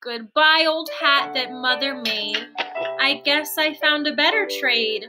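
A young woman giving an expressive, sing-song read-aloud of a children's storybook, indoors at a computer. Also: high voice, takes it slowly, music in the background. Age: young adult. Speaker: storybook reader.

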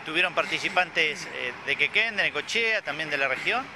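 A man, a local TV reporter asking hesitant, measured interview questions outdoors. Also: on the high side, animated, noisy background. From Argentina.

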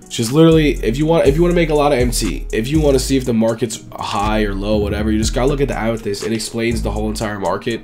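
Male gaming YouTuber, 20s, raspy and sore-throated from flu, informal slangy commentary, music behind. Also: on the low side, a little mellow. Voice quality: raspy, dry voice. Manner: slangy, informal, repetitive emphasis. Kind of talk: casual gaming video commentary.

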